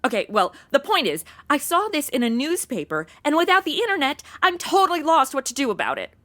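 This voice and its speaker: A woman acts a young American valley-girl type in a comedy radio play. The voice is high and swoops around, blunt, casual and easily exasperated. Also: very animated, a little fast, a bit bright. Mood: urgent, blunt. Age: young adult. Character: flighty, slangy young modern woman, easily rattled. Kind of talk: comedy audio drama acting.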